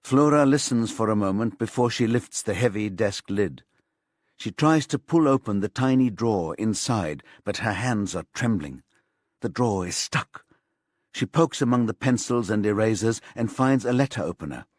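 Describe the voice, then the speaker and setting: Male audiobook narrator, lowish pitch, measured storytelling, crime thriller, very dry recording. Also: lively intonation, no echo.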